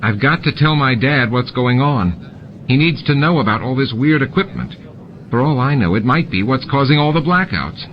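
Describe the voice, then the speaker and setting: A man's voice: medium pitch, animated, normal speed, voice pushed up, close to an everyday voice. Man reading as an earnest, cautious teenage boy. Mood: earnest worry. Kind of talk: audiobook character voice. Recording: muffled, with clear background noise.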